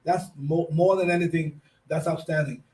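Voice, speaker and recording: A man's voice: fairly high pitch, fairly even intonation, normal speed, dark tone. A man with a Puerto Rican accent hosting a radio talk show, chatting loosely, repeating words and slipping into Spanish. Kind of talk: casual interview chat.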